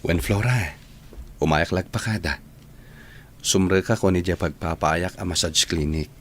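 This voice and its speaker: A man playing a devoted, worried husband in a radio drama, gentle and earnest. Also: deep voice, a little slow, a little hiss or hum. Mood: gentle, caring. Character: devoted, worried husband caring for his sick wife. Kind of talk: radio drama acting in Ilocano.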